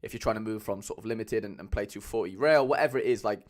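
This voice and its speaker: A young man with a Cardiff accent chatting casually as a livestream host, loose and rambling with lots of fillers, speaking into a mic on a boom arm at home. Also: fast. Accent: Cardiff Welsh. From Wales. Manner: chatty, loose, many fillers, calls viewers lads.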